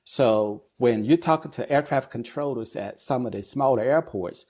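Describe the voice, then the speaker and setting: A middle-aged man answers as the specialist guest in an interview. His voice sits at an average pitch for a man. He lays out explanations in measured, deliberate phrases, often pausing before he goes on. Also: a little mellow. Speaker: guest expert, air traffic controller and business executive.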